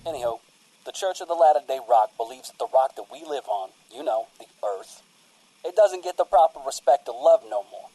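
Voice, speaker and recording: A man's voice: mid-range voice, lively intonation, brisk, warm tone, slightly rough, dry recording, rough recording. A man playing an earnest, folksy priest of a rock cult as an easygoing guest in a comedic radio interview.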